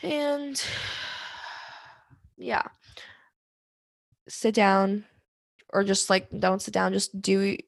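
A young woman with a raspy, slightly hoarse voice, hosting her own podcast in an open, rambling way with many fillers and restarts. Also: medium pitch, very slow, long pauses, dry recording, studio quality. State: hoarse from singing. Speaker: podcast host. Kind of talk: candid solo podcast talk.

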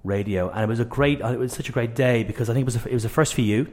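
A man's voice: medium pitch, rapid-fire, darkish tone. Effusive, rambling male radio host. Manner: effusive, rambling, repeats phrases. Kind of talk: casual conversational interviewing.

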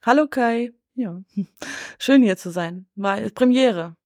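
A woman's voice: a little high, slow, darkish tone, dry recording, clean studio sound. A woman chatting casually as a guest on a podcast. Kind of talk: casual chat.

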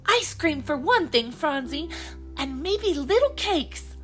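A woman voicing a cheerful, motherly older sister, a young girl who speaks brightly and eagerly. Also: sits high, very animated, takes it slowly, voice pushed up, exaggerated voice.

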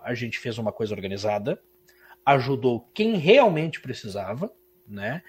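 A man with a southern Brazilian gaúcho accent, talking as an interview guest. His voice sits a little high for a man. He tells his story in a heartfelt, emotional way. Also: slightly rough. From Santa Catarina, Brazil. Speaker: musician guest. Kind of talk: interview conversation.

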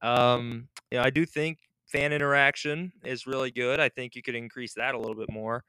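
A young man from Michigan with a Michigan accent that leans a little Southern, chatting fast as a podcast guest, with lots of fillers. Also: mid-range voice, fairly even intonation, pushed and bright, clean tone, no echo. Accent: Michigan with a slight Southern lean. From Michigan, United States. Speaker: interview guest. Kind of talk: casual podcast chat.

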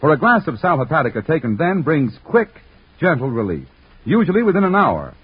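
An actor playing a cheerful announcer and comic sidekick in 1940s radio style. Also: male voice, a little high, very animated, fairly quick, breathy edge, full character voice. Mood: selling, emphatic. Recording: muffled 1940s radio recording.

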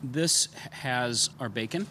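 A man's voice: mid-range voice, slow, bright, forceful tone, slight background noise. A man, a chef, answering casually and listing ingredients in a matter-of-fact way.

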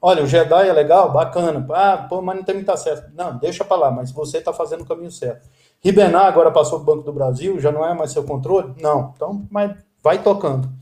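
A man in his fifties from Brazil, a guest telling stories in a relaxed, chatty way. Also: fairly high pitch, fast, roomy sound. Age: 50s. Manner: storytelling, lively and chatty. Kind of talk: casual interview chat.

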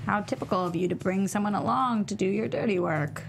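A woman plays a sardonic policewoman with nothing to lose in a crime pilot read. She is blunt and dry in a mid-range voice. Clear noise and hum run behind her. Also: medium pitch, brisk, lightly acted. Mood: tough, threatening. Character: tough, sardonic female cop with nothing to lose. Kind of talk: table read of a TV pilot script. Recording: clearly noisy recording with hum.

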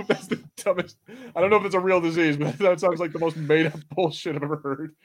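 Male podcast co-host, slightly high voice, loose rambling film banter, salty language. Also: fairly even intonation, a little fast. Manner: rambling, informal, swears freely. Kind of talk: casual watch-party film chat.

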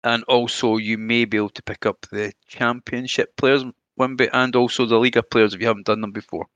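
Smooth, dry-sounding male co-host. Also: mid-range voice, fairly even intonation, brisk, fairly bright tone, dry recording, muffled recording. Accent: regional British or Irish. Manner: friendly, enthusiastic gamer talk. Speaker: podcast co-host. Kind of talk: casual podcast chat.

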